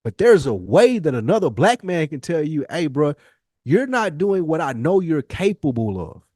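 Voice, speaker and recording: A man's voice: fairly high pitch, sing-song, normal speed, a little mellow, no room sound, clean studio sound. An American man hosting a podcast, talking casually and reflectively in an earnest, rambling, emphatic way, with slang and swearing. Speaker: podcast host. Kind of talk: casual, reflective conversation with a co-host.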